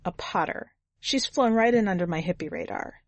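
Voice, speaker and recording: Woman reading as jaded, lowish-voiced young drifter. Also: lively intonation, normal speed, dry recording. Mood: wry, jaded. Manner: wry, blunt, sardonic. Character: jaded, foul-mouthed twenty-year-old drifter hitchhiker. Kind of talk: first-person audiobook narration.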